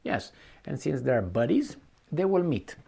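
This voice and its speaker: A man giving an unscripted, opinionated political commentary, speaking bluntly and colloquially, with halting repetition and rhetorical questions to the listener. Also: a little high, big pitch swings, gravelly, warm tone, a little hiss or hum. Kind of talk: unscripted opinionated monologue to viewers.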